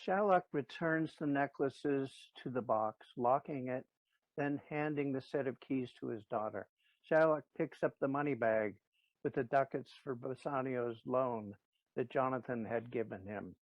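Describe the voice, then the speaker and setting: A man's voice: medium pitch, unhurried, no echo, muffled recording. Dry-recorded male stage-direction narrator. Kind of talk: scripted narration.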